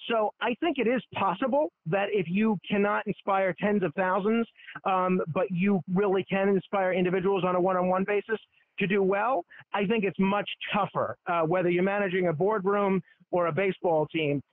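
A man calling into a radio show as a guest, quick and wisecracking. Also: sits high, fairly even intonation, slight background noise. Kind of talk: phone-in interview. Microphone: phone. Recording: tinny phone line.

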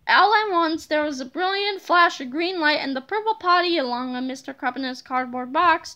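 Female storybook reader for children; very high pitch, bright, forceful tone. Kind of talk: children's book read-aloud.

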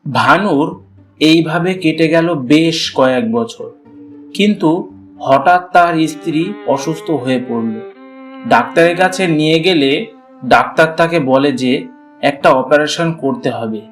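A man's voice: fairly high pitch, moderate pace, soft background music. A man narrating a scripted cartoon story.

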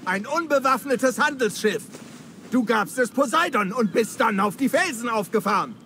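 A man playing an angry, vengeful mutinous sailor in a video game, accusing and forceful. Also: extremely high pitch, lively intonation, normal speed, slight background noise, exaggerated voice. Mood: furious.

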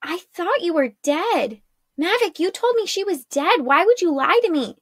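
A woman's voice: high voice, lively intonation, normal speed, no room sound, studio quality, voice pushed up. A woman voicing a confused, upset young witch girl in a storybook, blunt and full of demanding questions. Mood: shocked. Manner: incredulous, interrupting, blunt.

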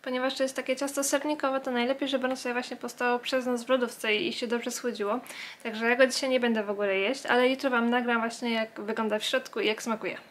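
Female vlogger, higher-pitched voice, chatty and informal, talks straight to the viewer. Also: steady tone, fast talker, slight background noise. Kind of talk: casual vlog chat.

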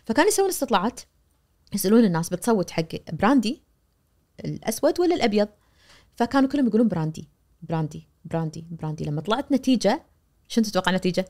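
A woman in her forties with a Kuwaiti accent, a media professor explaining things animatedly as a guest on a studio podcast, recorded on a boom mic. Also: rapid-fire, pauses often. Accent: Kuwaiti Arabic. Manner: animated, gesturing, acts out quoted voices. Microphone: boom-arm studio mic.

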